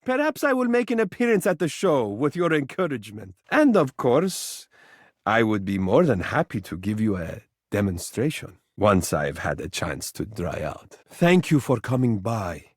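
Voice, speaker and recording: A man's voice: medium pitch, dry recording. A living mirror from a video game, a male suitor both vain and tender. His melody swings widely as he speaks in florid, formal, affectionate phrases. The delivery is theatrical, and the sound is close and dry. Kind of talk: video game character voice acting.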